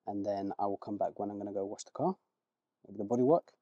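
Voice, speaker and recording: Relaxed man talking through car washing. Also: fairly low pitch, fast, dry recording, dull audio. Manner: relaxed, rambling, self-correcting explanations. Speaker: car rental host. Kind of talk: casual vlog narration while working.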